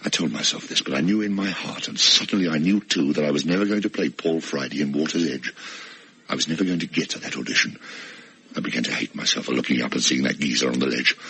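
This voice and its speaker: A man's voice: rumbling low, fast, fairly bright tone, slightly rough, heavily acted, rough recording. An actor playing a flustered, self-absorbed man in a radio play, stammering and hesitant as a reluctant rescuer. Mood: wry, confiding. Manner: stammering, hesitant, nervously pleading. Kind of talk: radio play acting with inner narration.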